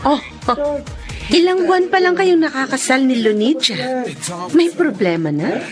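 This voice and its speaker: An actress playing a bitter, seductive wronged woman in a radio drama, with a drawn-out theatrical delivery. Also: sits high, animated, music in the background. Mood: sultry, teasing. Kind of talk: Tagalog radio drama acting.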